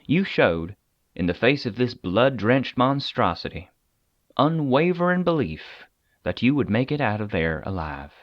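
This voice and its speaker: An actor playing a weary, persuasive government agent in an audio drama, talking conversationally with deliberate dramatic pauses. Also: male voice, mid-range voice, big pitch swings, no room sound, lo-fi sound. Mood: earnest, probing. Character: weary, persuasive male agent of a secret containment agency.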